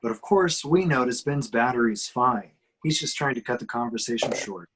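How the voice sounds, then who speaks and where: A man's voice: medium pitch, animated, normal speed, a bit bright, slightly rough, poor audio. A man reading out action directions as narrator at an amateur screenplay table reading. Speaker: reader of stage directions.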